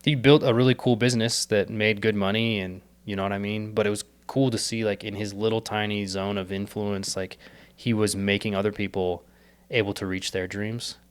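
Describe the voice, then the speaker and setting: A young man talks earnestly in a low, smooth voice, wandering through his thoughts with fillers and restarts. His voice is a little worn, as if he is losing it. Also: little pitch movement, normal speed. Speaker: podcast guest, startup co-founder. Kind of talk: casual reflective interview talk.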